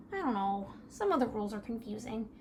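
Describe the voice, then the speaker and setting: A woman voicing a gentle, timid teenage girl who speaks softly and stammers when unsure. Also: on the high side, big pitch swings, unhurried. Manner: soft, hesitant, self-doubting, stammers. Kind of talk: audio drama acting. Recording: noisy ambient background.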